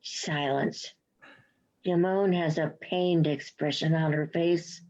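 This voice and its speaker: A woman narrates a script's stage directions at a very unhurried pace. Her voice sits fairly low, and the recording is a little dull. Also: steady tone, darkish tone. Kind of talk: scripted narration for play reading.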